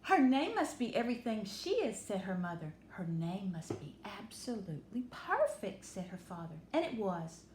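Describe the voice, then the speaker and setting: Animated woman reading to children, roomy echo. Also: medium pitch, moderate pace, hardly any pauses. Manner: animated, instructive, dwells on key words for emphasis. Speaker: storytime reader and teacher. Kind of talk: expressive picture-book read-aloud for children. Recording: roomy echo with faint background noise.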